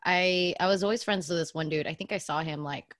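A woman's voice: fairly low pitch, a little fast, no room sound, clean studio sound. A woman in her twenties chatting and telling stories casually, blunt and slangy, swearing freely. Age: 20s. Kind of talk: casual chat, storytelling.

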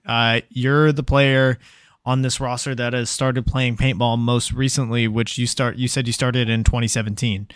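A man hosting a podcast, reading out listener questions in a relaxed, chatty way. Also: medium pitch, clear, resonant tone, dry recording, clean studio sound. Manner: relaxed, reads out listener questions, many fillers. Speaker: podcast host. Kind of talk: casual interview chat.

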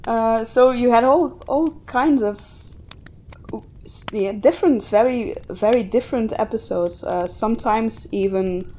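A woman's voice: a little high, measured pace, dark tone. A woman co-hosting a casual podcast conversation, speaking a little hesitantly as she feels for her words. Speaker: podcast co-host.

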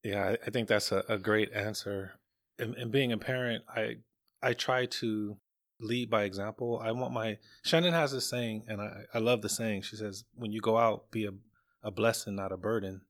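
A middle-aged man giving casual interview answers in a measured, halting way, often repeating words. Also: lowish voice, fairly even intonation. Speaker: guest, parent.

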